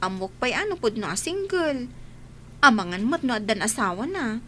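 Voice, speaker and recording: Actress as high-voiced, earnest young Ilocano woman. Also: sing-song, moderate pace, sharp tone, slight background noise. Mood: earnest, hesitant. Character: earnest, cautious young single woman being courted. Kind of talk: Ilocano comedy radio drama acting.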